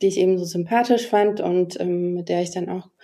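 A woman's voice: fairly low pitch, fairly even intonation, brisk, warm tone. A woman in her forties, a podcast guest telling her personal story in a reflective, hesitant way with many fillers. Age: 40s.

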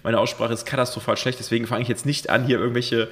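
A hoarse man in his thirties rattles off a long, rambling party story at a rapid clip. His voice carries a slightly croaky edge from the night before. A long reverb tail trails behind him. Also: mid-range voice, flat delivery, fairly bright tone. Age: 30s. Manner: rambling storyteller with many fillers. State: hoarse from drinking. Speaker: podcast co-host. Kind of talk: casual chat.

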